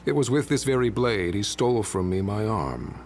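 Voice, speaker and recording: A man performs an ancient blade master from a video game, solemn and imperious. His low voice rises and falls widely through formal, archaic phrases, each delivered with stately command. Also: lively intonation, moderate pace, a little mellow, a little hiss or hum, natural voice. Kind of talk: dramatic video game acting.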